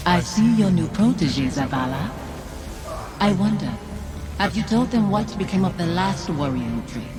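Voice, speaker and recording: A woman playing the reproachful apparition of a commander's lost loved one in a video game, with measured, accusing, poetic delivery. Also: lowish voice, lively intonation, natural voice. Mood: taunting, wistful. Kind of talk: dramatic video game acting. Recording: dramatic music behind the voice. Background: game music.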